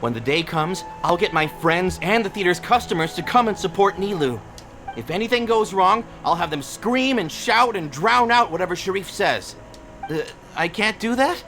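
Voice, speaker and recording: Brash high-pitched loyal young guy. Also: expressive, normal speed, a bit bright, soft background music. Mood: deflated. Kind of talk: anime game voice acting.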